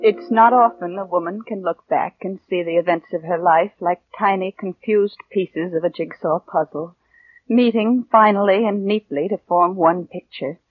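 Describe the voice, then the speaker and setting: An actress playing a bitter, downtrodden orphan niece, narrating with restrained intensity in a 1940s radio drama. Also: female voice, on the low side, moderate pace, close to an everyday voice. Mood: urgent, intense. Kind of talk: 1940s radio acting, first-person narration. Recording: narrow-band vintage radio recording with music.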